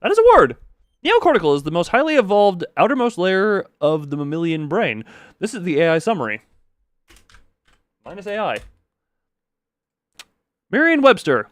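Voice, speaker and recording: A man's voice: sits high, huge pitch swings, moderate pace, long pauses, no room sound. A man chatting casually on a gaming livestream and reading game notes aloud, rambling, easily sidetracked and stumbling over words. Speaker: live streamer.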